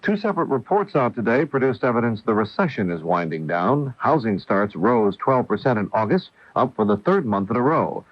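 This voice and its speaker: A man's voice: mid-range voice, big pitch swings, a little fast, few pauses. A man anchoring a scripted radio news bulletin in a formal, factual manner, on an old AM radio recording. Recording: dull roomy 1980 AM radio.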